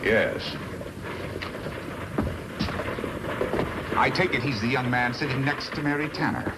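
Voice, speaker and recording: An actor playing a scheming, sardonic villain boss in a 1950s radio western, insinuating and bossy. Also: male voice, mid-range voice, expressive, a little fast, natural voice. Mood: impatient. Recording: noisy vintage 1950s radio recording.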